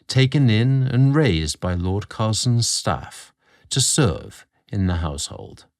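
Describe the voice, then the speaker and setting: A British man narrating a scripted story, theatrical and deliberate in his phrasing, drawing out words as a podcast host. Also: medium pitch, a little mellow, no echo, clean studio sound.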